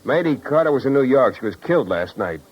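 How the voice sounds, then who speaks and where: Hard-boiled private detective, wisecracking, slangy, sardonic, mid-range voice, dull vintage radio recording. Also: male voice, medium pitch, sing-song, quick pace. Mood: pressing, urgent. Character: wry, tough male private detective, world-weary and sardonic. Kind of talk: 1950s radio detective drama acting and first-person narration.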